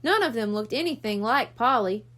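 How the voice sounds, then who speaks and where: Woman reading a kids audiobook, high voice, lots of up and down. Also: lively intonation, moderate pace, fairly bright tone. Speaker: audiobook reader. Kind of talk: children's storybook narration.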